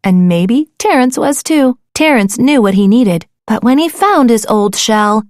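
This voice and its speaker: A woman narrating a children's storybook in a dramatic, playful and deliberate way. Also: on the high side, expressive, a little mellow, dry recording, clean studio sound. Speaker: audiobook narrator.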